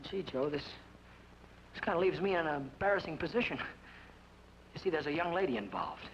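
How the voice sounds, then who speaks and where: A brash young outlaw cowboy, high-voiced and swinging wildly up and down as he mouths off. His cocky western talk comes through a muffled old radio broadcast, with a clear hiss under it. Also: big pitch swings, fairly quick, a bit bright. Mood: defiant. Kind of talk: 1940s radio acting.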